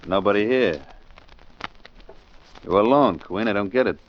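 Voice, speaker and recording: A man's voice: lowish voice, a little slow, lightly acted. An actor playing a cocky, bullying small-time American gangster with sneering, slangy tough-guy talk in 1940s radio style. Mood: wary. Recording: muffled old 1940s radio recording, faint noise.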